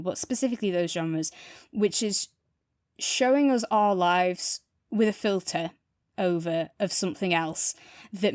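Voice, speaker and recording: A woman's voice: medium pitch, no room sound, clean studio sound. A woman co-hosting a podcast, chatting casually and reflectively as she gives a review. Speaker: podcast co-host reviewing audio fiction.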